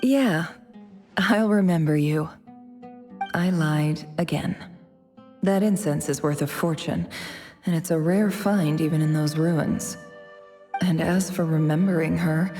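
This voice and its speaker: An actress playing a cool, calculating young woman in a video game, a scholarly envoy who speaks with measured, polite persuasion. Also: lowish voice, fairly even intonation, dark tone, soft background music, lightly acted. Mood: casual, evasive, then detached, brooding.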